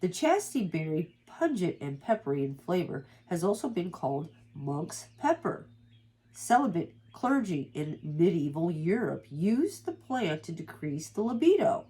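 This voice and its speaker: Woman card reader, low-ish husky voice, stuffy nose, slow soft reading. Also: sing-song. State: congested from allergies. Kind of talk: reading aloud from a guidebook with asides.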